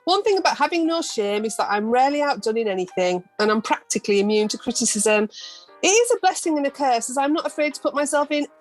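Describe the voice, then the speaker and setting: A woman acts a shameless, bawdy woman in a homemade radio comedy. She is theatrical and boastful, in a somewhat high voice. It was recorded on a video call at home, with soft music. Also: expressive, fast talker, poor audio. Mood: brazen. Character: Nancy, brazen, bawdy, shameless female storyteller. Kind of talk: amateur radio play acting.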